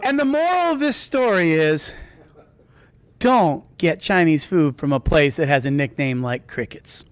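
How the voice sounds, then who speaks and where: High-pitched man telling a rambling comic story. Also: animated, unhurried, a little hiss or hum. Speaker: storyteller at a live comedy story night. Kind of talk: comedic personal storytelling to an audience.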